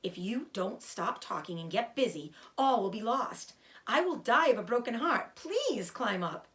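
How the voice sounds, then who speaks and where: A woman's voice: a little high, big pitch swings, a little slow, fairly bright tone, voice pushed up. A woman voicing an anxious young male pig from a storybook, earnest, urgent and pleading. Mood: despairing.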